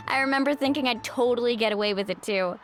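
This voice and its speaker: An actress playing a cheerful, playful young woman in a video game, chatting in a breezy, expressive way. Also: fairly high pitch, a little fast, natural voice. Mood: amused, reminiscing. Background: game music.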